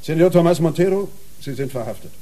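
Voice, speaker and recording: An actor playing an imperious investigating judge in a radio drama, curt, commanding and formal. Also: male voice, fairly high pitch, animated, fast talker, mellow.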